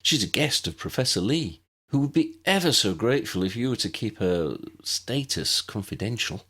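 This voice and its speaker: A man's voice: lowish voice, sing-song, normal speed, sharp tone, husky, exaggerated voice. A man voicing a helpful, reassuring older schoolgirl witch in a storybook, friendly and explanatory. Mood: tactful.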